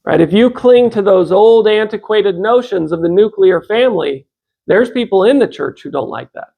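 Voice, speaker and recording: A man's voice: high-pitched, expressive, normal speed, creaky, mellow. An American man in his fifties giving an informal religious talk, putting questions to listeners and acting out bits of dialogue. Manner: conversational, rhetorical questions to listeners. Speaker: religious speaker.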